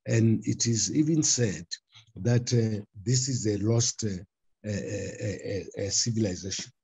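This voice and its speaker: An older man lectures from his study over a video call. His voice is deep and warm, with a husky edge. He moves deliberately through his points, often pausing on filler sounds. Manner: deliberate, hesitant delivery with frequent uh fillers and restarts. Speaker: panelist presenting a paper. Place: study with bookshelves, indoors. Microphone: laptop webcam on a video call.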